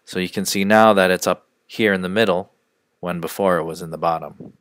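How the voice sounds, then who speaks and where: Male software trainer, low register, measured instructional screencast delivery, dry studio-style sound. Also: fairly even intonation, clear, resonant tone, no room sound. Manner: measured, step-by-step explaining.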